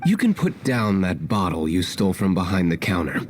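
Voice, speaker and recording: A man's voice: sits low, big pitch swings, normal speed. An actor playing an aloof, cynical young male tavern owner in a video game, cool, curt and dry. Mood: stern. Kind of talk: animated game voice acting.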